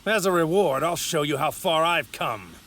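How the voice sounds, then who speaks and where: A man's voice: on the high side, lively intonation, normal speed, heavy background noise. A man playing an arrogant godlike villain in an English anime dub, with pompous, theatrical, formal delivery. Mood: gloating. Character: arrogant godlike male villain in a stolen warrior body.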